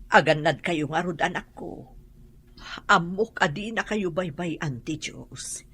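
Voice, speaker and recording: An actress with a Filipino accent playing an anxious, devout mother who gently cautions her daughter in a radio drama. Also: on the low side, animated, unhurried, husky, exaggerated voice. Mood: worried, tender.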